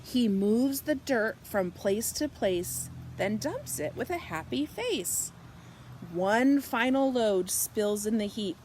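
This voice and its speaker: Unhurried woman reading a children's storybook. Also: on the high side, a little hiss or hum. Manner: slow, animated delivery with drawn-out words and hushed, whispered good nights. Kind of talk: expressive picture-book read-aloud for young children.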